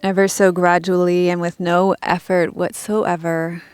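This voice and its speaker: Smooth low-voiced woman guiding meditation. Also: no room sound, faint music behind. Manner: calm, gentle, measured instruction with pauses. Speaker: yoga and meditation teacher. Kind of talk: guided meditation.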